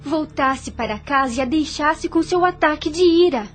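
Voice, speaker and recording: A woman's voice: high voice, moderate pace, soft background music, natural voice. A woman voicing a young sister in an audio drama, questioning and emotional. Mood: worried. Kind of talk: radio drama acting.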